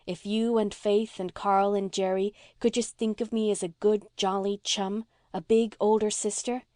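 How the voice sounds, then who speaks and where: A tender, sincere woman as an audiobook reader plays her, talking softly and frankly as if to a child she is fond of. Her pitch sits in the middle and the recording is dry and intimate. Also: flat delivery, moderate pace, dry recording, close to an everyday voice. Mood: warm, sincere. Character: gentle, frank, affectionate young woman comforting a child. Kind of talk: audiobook character voice.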